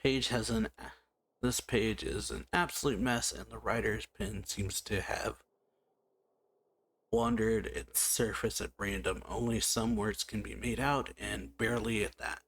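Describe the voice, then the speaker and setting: A man hosting a let's-play, casually commenting and slowly reading game text aloud, with frequent musing hums. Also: fairly low pitch, steady tone, creaky, fairly bright tone, a little hiss or hum. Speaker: gameplay channel host.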